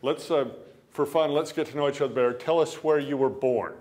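A man's voice: a little high, fairly even intonation, fairly quick, darkish tone. An older man leading a university class in a classroom, talking casually and repeating words as he thinks aloud. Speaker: university instructor. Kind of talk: classroom lecture and discussion. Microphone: camera at the back of the room.

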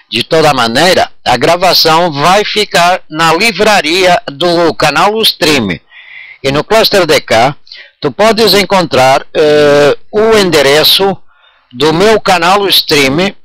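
A man's voice: fairly high pitch, bright, forceful tone, no room sound, rough recording. A man talking as an amateur radio operator, explaining step by step, repeating himself and checking he is understood. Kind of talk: radio contact talk.

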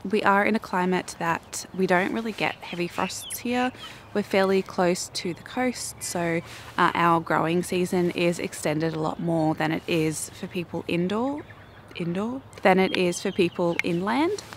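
Young Australian woman, croaky low voice, chatty vlogger, outdoors with faint noise. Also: unhurried. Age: young adult. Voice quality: croaky morning voice. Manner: chatty, self-mocking, giggly. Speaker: flower farming vlogger. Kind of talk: casual vlog talk to camera. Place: backyard garden outdoors, cold morning.

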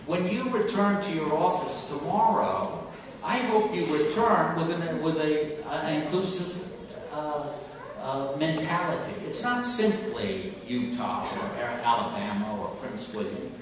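A man's voice: fairly high pitch, expressive, a crowd in the background. Older male preacher in a very echoey church. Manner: halting and emphatic, with pauses and rhetorical questions. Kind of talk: sermon, homily delivered conversationally. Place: large church interior, at a pulpit. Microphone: far camera microphone. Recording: distant, very echoey church, clear background noise.

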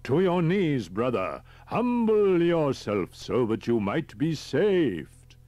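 A man's voice: medium pitch, sing-song, slow, warm tone, no room sound, voice pushed up. A man voicing a huge male cult leader, a fervent, menacing zealot who exhorts like a preacher in a dramatized audiobook. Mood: booming zeal.

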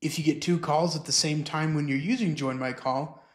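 A middle-aged man presenting scripted instructional narration, explaining clearly step by step. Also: fairly high pitch, steady tone, normal speed. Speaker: software tutorial presenter.

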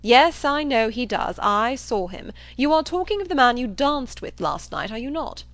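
A woman voicing a loud, brash, boastful young man with a blustering, impatient delivery in a storybook reading. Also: fairly high pitch, big pitch swings, moderate pace, no echo, voice pushed up. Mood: insistent. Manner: blustering, emphatic exclamations.

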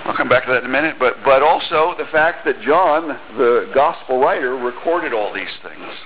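A man's voice: mid-range voice, expressive, normal speed, narrow, tinny audio, slight background noise. A middle-aged man preaching a sermon in a church on a headset mic, talking conversationally with small restarts and reading scripture aloud. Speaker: pastor. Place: church sanctuary.

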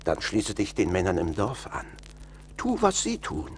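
An actor playing a kindly village man giving measured, instructive advice in a radio play. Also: low-pitched, huge pitch swings, exaggerated voice. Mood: helpful. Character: kindly village man advising a stranded stranger. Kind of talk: German radio play dialogue. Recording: slightly noisy vinyl record transfer.

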